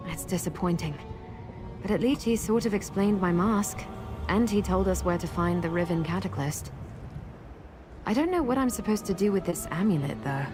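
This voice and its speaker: An actress playing a gentle, earnest masked woman in a fantasy video game, with music behind her. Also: fairly low pitch, lively intonation, moderate pace, lightly acted. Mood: disappointed.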